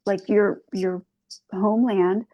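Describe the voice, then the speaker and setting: A woman hosting a podcast interview, asking friendly questions and giving quick affirmations. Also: mid-range voice, steady tone, warm tone, lo-fi sound. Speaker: podcast host. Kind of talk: casual interview chat.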